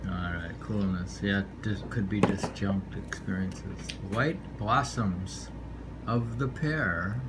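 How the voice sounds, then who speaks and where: Slow, low-key man reading poetry, noisy room. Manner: unhurried, rambling, repeats lines. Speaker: poetry reader. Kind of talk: informal poetry reading with offhand commentary. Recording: noisy room ambience, amateur home recording.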